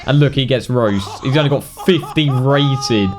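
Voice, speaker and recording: A man's voice: medium pitch, measured pace, over music. A British man giving casual commentary as the host of a gaming video. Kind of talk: casual game highlights commentary.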